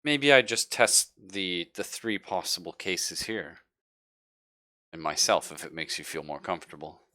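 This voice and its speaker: A man playing an anxious, apologetic ship's doctor in an improvised tabletop roleplay, speaking haltingly and carefully. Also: sits low, bright, forceful tone, no echo, studio quality. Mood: hesitant, earnest.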